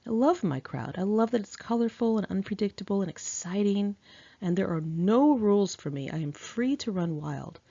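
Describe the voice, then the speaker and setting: A woman's voice: mid-range voice, animated, moderate pace, vocal fry, mellow, dry recording, highs cut off. A woman reads her own memoir aloud in an informal, candid manner, as an author narrating.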